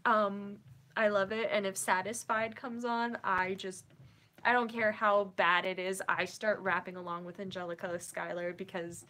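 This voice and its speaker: A woman's voice: mid-range voice, level tone, moderate pace, pushed and bright. A young woman with a Minnesotan accent, hosting a casual writing livestream and chatting with her viewers in a bubbly, giggly, rambling way. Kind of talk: casual chat reading viewer comments.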